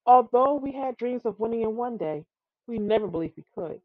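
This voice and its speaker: Higher-voiced woman reading a children's story, muffled. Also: brisk, dry recording. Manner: expressive, with frequent stumbles over words. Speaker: storybook reader. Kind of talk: read-aloud children's tall tale narration.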